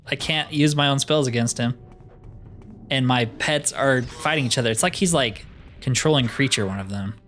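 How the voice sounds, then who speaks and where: A man's voice: mid-range voice, normal speed, fairly bright tone, soft background music. A man giving casual, chatty gameplay commentary as a video host, thinking aloud in an easygoing way.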